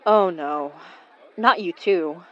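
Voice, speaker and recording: A woman's voice: lowish voice, slow, dull audio, a little hiss or hum. A woman playing a grumbling, skeptical young woman in a naturalistic audio drama. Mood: exasperated. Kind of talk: naturalistic horror fiction podcast acting.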